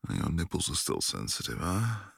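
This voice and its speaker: A man's voice: low-pitched, big pitch swings, normal speed, gravelly, fairly bright tone, husky, no echo, studio quality. A man performing an intimate audio roleplay in a dominant, commanding way, often dropping to a whisper.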